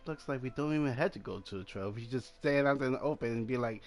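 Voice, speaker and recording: A man's voice: medium pitch, fast talker, faint music behind. A man giving casual, joking commentary while he plays a game. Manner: joking, informal. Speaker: gaming streamer.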